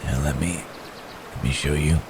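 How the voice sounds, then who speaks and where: A man playing a wounded, courteous werewolf in an intimate ASMR roleplay, halting and strained with pain, with old-fashioned polite phrasing. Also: a bass voice, steady tone, noisy background. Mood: weary, grave. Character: wounded, weary, courteous male werewolf hunted by men.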